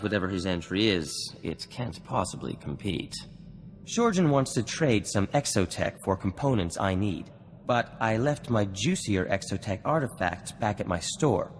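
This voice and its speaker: An actor playing a proud, courteous inventor in a video game, with a formal, pompous and precise manner. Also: male voice, fairly low pitch, very animated, moderate pace. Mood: proud, eager. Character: proud, courteous male alien inventor, grandly scholarly. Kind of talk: video game cutscene acting. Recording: long reverb, music underneath.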